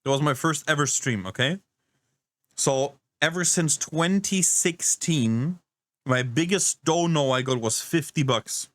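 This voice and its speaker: A man's voice: a little high, measured pace, fairly bright tone, no room sound, studio quality. A man doing casual reaction commentary, incredulous and rambling, swearing often. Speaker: reaction streamer.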